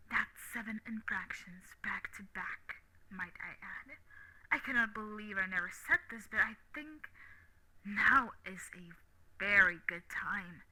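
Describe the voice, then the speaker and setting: A woman playing a sadistic, possessive young university bully in a dramatic audio roleplay, menacing, deliberate and manipulative. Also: medium pitch, pushed and bright, slightly rough, heavily acted, low-quality recording. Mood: taunting, controlling.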